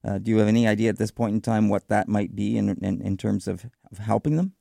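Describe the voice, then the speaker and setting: A man hosting a radio talk show, interviewing a guest in a measured way with some fillers. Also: on the low side, vocal fry, mellow, no room sound, studio quality. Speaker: radio host. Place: radio studio.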